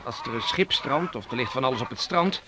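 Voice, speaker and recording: An actor playing an earnest, hesitant teenage son in a 1960s radio drama. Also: male voice, medium pitch, expressive, fairly quick, close to an everyday voice. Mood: shy, tender. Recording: noisy 1969 radio play recording.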